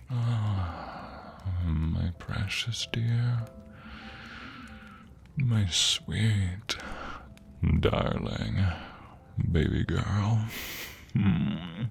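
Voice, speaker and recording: A man playing an affectionate, playful husband in an intimate ASMR roleplay, speaking tenderly and teasingly with breathy, whispery softness. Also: fairly low pitch, a little hiss or hum, full character voice. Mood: tender, adoring. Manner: teasing, tender, chuckling, with drawn-out soft phrases. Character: affectionate, playful young husband sweet-talking his wife.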